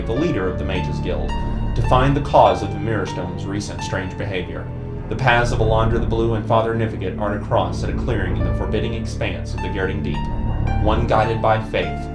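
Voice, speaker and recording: Echoey low male announcer over loud guitar. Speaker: recap announcer. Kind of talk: scripted fantasy recap narration. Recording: roomy echo under loud music. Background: loud plucked guitar music.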